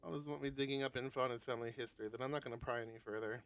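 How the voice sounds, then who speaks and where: A man's voice: mid-range voice, fairly even intonation, racing, fairly bright tone, no echo. A man reading aloud and chatting casually on a game stream, sometimes swearing in asides. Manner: casual, sweary asides between narrated passages. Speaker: host of a visual novel stream.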